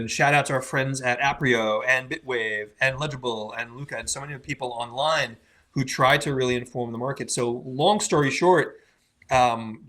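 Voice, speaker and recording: A man hosting a crypto panel, slightly hoarse and speaking in a conversational, steering way. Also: medium pitch, a bit bright. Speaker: host. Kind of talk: panel discussion.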